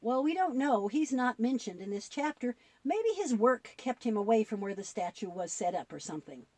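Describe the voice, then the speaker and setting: A woman presenting a children's Bible show, telling stories in an expressive, instructive way as dialogue with a puppet. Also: on the high side, normal speed, no room sound.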